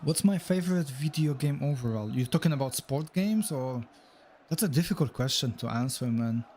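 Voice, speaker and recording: A man's voice: medium pitch, expressive, normal speed, darkish tone, slight background noise. A man with a Polish accent streaming a football game, reacting to the match and chatting with viewers. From Poland. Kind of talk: live gameplay commentary and chat.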